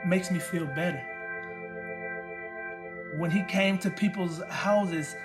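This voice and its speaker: A high-voiced young man speaks slowly and tenderly in an audio drama, over clear music. He is plainspoken and halting, searching for his words as he eulogizes a friend. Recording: music under the voice.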